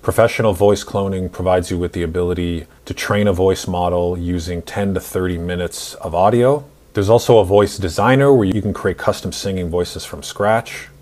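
A man in his forties narrating a screen-recorded software tutorial in an instructional, step-by-step way. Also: low-pitched, moderate pace. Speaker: tutorial host.

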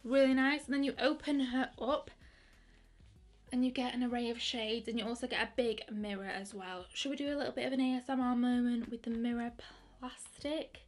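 A young woman in her twenties chatting excitedly through a makeup review, with lots of fillers and restarts. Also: fairly high pitch, a little fast. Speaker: beauty vlogger. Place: bedroom with colourful lighting.

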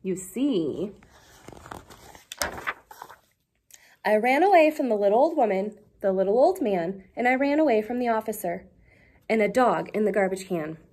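A woman's voice: medium pitch, expressive, normal speed, darkish tone, breathy edge, pitched below the performer's own voice. A woman voicing a boastful little gingerbread cookie boy in a storybook, chanting his taunts in a sing-song way. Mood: worried.

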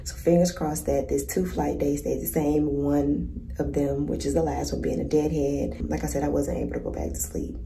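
A young woman who works as a flight attendant, chatting casually to her phone camera at arm's length, her voice a little hoarse from too little sleep. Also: low-pitched, flat delivery, normal speed, mellow, distant mic, a little hiss or hum. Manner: chatty, laughs often, talks straight to her viewers. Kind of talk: casual vlog chat to camera. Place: hotel room and empty airliner cabin. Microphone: phone held at arm's length.

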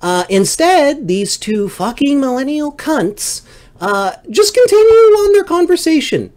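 Animated middle-aged man ranting comically. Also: very high-pitched, measured pace. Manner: ranting, exasperated, swearing freely. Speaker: livestream host. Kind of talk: comedic storytelling monologue.

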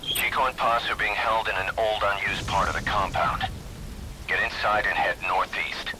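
Man acting as low-voiced military radio commander. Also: fairly even intonation, normal speed, background noise, close to an everyday voice. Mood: composed briefing. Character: composed, authoritative male military commander guiding an agent. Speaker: mission support over radio. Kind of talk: video game voice acting, briefing.

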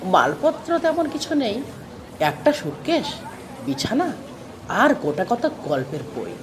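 A man's voice: very high-pitched, huge pitch swings, unhurried, exaggerated voice. A man acts out a bookish, brooding factory manager in an audio story. His voice swings up and down theatrically, over faint noise and ambience. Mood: chatty, eager. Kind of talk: audio story character acting.

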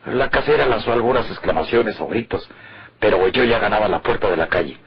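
An actor playing a cold, controlling Mexican killer in an old radionovela, terse and commanding. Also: male voice, fairly low pitch, brisk, lightly acted. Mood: calculating. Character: cold, controlling male murderer on the run. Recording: noisy, muffled vintage radio recording.

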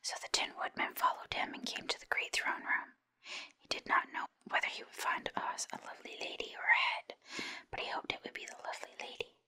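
A woman's voice: brisk, bright, forceful tone. Woman whispering a storybook. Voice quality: breathy, unvoiced whisper. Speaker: storybook reader. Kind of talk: whispered ASMR-style reading of a children's story.

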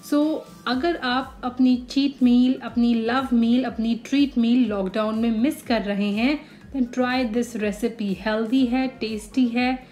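A young woman hosting a cooking channel, calmly explaining each recipe step in a voice-over. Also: on the high side, takes it slowly, soft background music.

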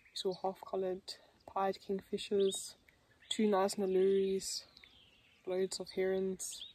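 A woman's voice: medium pitch, monotone, slow pace, darkish tone, slight background noise. Young woman vlogging outdoors, cheerful. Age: young adult. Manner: cheerful, laughs often. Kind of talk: casual vlog narration. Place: outdoors on a river and bush trail. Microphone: phone held at arm's length.

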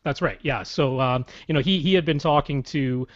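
Young male podcast co-host, medium pitch, keen and chatty, slightly muffled call sound. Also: normal speed. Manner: enthusiastic, explanatory, laughs easily. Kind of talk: casual podcast chat. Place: small home room. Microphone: large studio mic on boom arm. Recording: slightly dull video-call audio.

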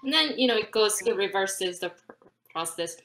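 A woman in her thirties from South Korea, teaching an online flute class in a chatty, animated way with frequent laughter, recorded over a webcam video call from a room at home. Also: mid-range voice, moderate pace, very noisy.

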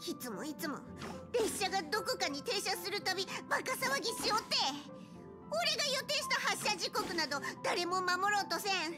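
Tiny grumpy mascot conductor, super high squeaky, proud n blustery, anime game, music under. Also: female voice, big pitch swings, normal speed. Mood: indignant grumbling. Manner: blustering, old-fashioned gruff phrasing. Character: small fussy conductor creature, proud, grumbling, tearful.

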